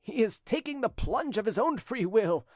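A man acting a high-pitched, jealous scheming suitor. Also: animated, moderate pace, no room sound, clean studio sound. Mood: gloating, scheming. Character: jealous, scheming, spurned male cousin and suitor.

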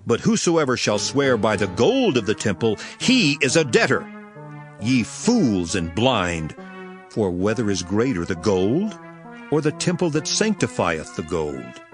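Commanding religious preacher, stern, emphatic, very varied pitch, scripture drama, light background music. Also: male voice, big pitch swings, unhurried. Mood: stern, rebuking. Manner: declamatory, solemn, emphatic. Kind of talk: dramatized King James scripture acting.

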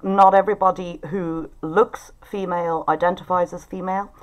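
Woman, Irish accent, low-pitched, informal group host, video call. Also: fairly even intonation, moderate pace. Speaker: writers' group facilitator and event host. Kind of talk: informal hosting of an online group discussion. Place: indoors at home.

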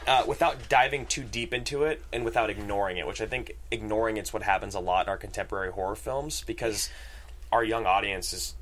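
Lowish-voiced guy, informal and effusive. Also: fairly even intonation, fairly quick, fairly bright tone. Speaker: panel co-host. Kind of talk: casual panel discussion. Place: talk-show studio. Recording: faint background noise.

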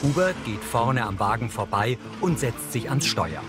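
A man reads scripted audio description of screen action. His voice is medium-pitched, with clear music playing behind it. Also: expressive, moderate pace.

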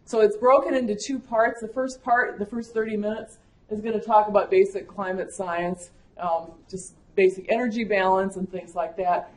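A woman with a Wisconsin accent, giving a conversational conference lecture as an agricultural climatologist, with frequent fillers. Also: medium pitch. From Michigan, USA. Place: conference room. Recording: echoey lecture room.

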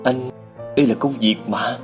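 A man's voice: medium pitch, fairly even intonation, moderate pace, faint music behind, lightly acted. A man playing an earnest, flustered husband in a dubbed cartoon, hesitant and pleading. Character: earnest, flustered husband devoted to saving animals. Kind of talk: dubbed animation acting.

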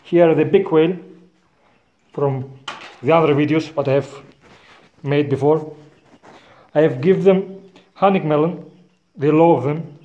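A man in his thirties gives an unhurried walk-and-talk tour of his farm, filming himself on a handheld phone. He talks in plain, non-native phrasing and chuckles often. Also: a little high, phone-quality sound. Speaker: farmer vlogger. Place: outdoors on a hillside farm and inside a bird shed. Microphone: phone camera at arm's length.

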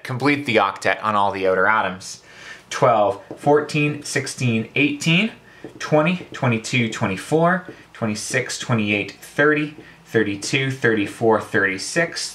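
Bright-voiced man giving a casual lesson. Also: mid-range voice. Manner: informal, playfully cocky. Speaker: chemistry tutor. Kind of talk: casual instructional explanation of a worked problem.

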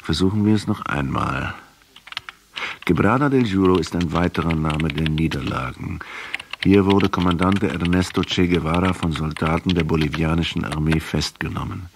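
A man playing a pensive middle-aged historian who narrates a radio drama in a husky, reflective, measured way. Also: low voice, background noise. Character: pensive 39-year-old male historian questioning a captive.

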